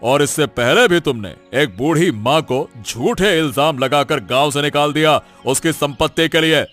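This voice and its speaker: A man's voice: on the high side, normal speed, fairly bright tone, soft background music, natural voice. A man playing an authoritative village headman in a cartoon moral story. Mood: stern, commanding. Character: stern authoritative village headman passing judgment.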